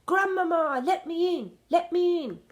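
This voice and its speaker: A woman reading as an excitable high-pitched young boy. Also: unhurried. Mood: urgent, calling. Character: excitable, plucky young grandson turned mouse. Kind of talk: children's storybook reading.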